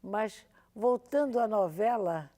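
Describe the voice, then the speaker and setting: A woman's voice: medium pitch, takes it slowly, dark tone. An older woman, a radio drama veteran, reminiscing emphatically and seeking agreement. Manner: emphatic, repeats points, seeks agreement. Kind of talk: reminiscing in conversation.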